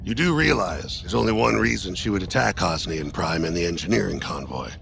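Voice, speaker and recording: A man playing a wary, serious rebel intelligence officer in a space game, speaking gravely in a gravelly voice. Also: low voice, animated, brisk, a bit bright, faint music behind, heavily acted. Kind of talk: dramatic in-game dialogue.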